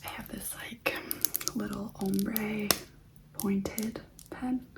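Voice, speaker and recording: A woman hosting ASMR in a breathy whisper, talking gently and directly to the listener and repeating phrases. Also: medium pitch, steady tone, extremely slow, noisy background. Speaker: ASMR host.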